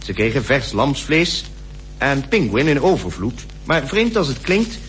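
A man's voice: medium pitch, sing-song, measured pace, fairly bright tone, soft background music. An actor playing an expedition member explaining polar customs from his diary, expressively, in a radio drama. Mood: informative. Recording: old radio recording with hum.

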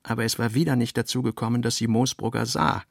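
Radio drama narrator: man, creaky mid-pitched voice, articulate and measured storytelling. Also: steady tone, no room sound, clean studio sound. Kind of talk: scripted literary narration for radio play.

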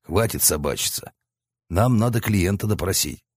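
A man's voice: sits low, animated, normal speed, breathy edge, no echo. A man voicing the stern, commanding central head of a three-headed hellhound.

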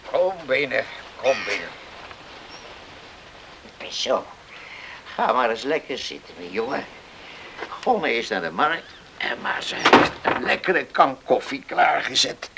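A man's voice: mid-range voice, very animated, slow, creaky, pauses often, noisy background, full character voice. A man acting an old seaman in a Dutch radio play, kindly and fatherly, hesitating and repeating his words as he gives counsel. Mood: welcoming, probing. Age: older.

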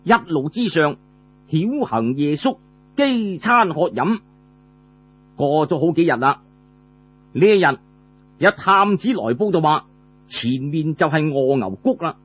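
A man's voice: a little high, expressive, unhurried, long pauses, dull audio, slight background noise. A man with a Cantonese accent telling a traditional tale, conversational and dramatic, drawing out his particles. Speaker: storyteller. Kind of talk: animated traditional storytelling narration.

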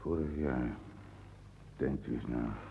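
Extremely deep, gravelly gravedigger. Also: male voice, mellow, slight background noise, exaggerated voice. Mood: ghostly, solemn. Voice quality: rumbling, gravelly. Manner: slow, ominous, measured. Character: eerie male gravedigger conjured from an old woman's memory. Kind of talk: radio play acting.

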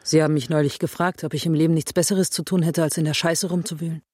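An actress playing a serious, determined woman investigator, speaking in a measured, matter-of-fact way in a radio drama. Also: sits low, fairly even intonation, darkish tone, dry recording, studio quality. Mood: grave, controlled. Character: serious, determined woman investigator recounting her father's fate.

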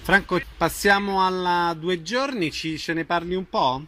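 A man's voice: high voice, a little slow, pushed and bright, a little hiss or hum. A man hosting an informal radio interview.

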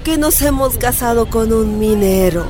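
A woman's voice: on the high side, moderate pace, loud music behind the voice, lightly acted. A woman playing a feisty, gossipy working-class miner's wife in a radio drama, exclamatory and colloquial. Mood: dreamy, wistful.

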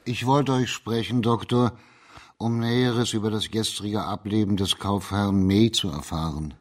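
A man in a radio drama playing a shrewd judge of old China, measured, formal and courteously commanding. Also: on the low side, steady tone. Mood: formal, probing.

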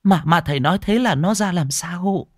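Male narrator doing a fretful elderly woman, high and shaky, stammering, dry recording. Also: moderate pace, no echo. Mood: pleading. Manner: stammering, repeating words.

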